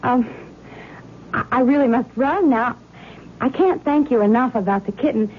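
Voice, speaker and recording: A young college woman played by an actress speaks in a fairly high voice, affectionate and carefree. The radio drama recording is muffled, with faint noise. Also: moderate pace, close to an everyday voice. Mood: cheerful, awkward. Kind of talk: old-time radio drama acting.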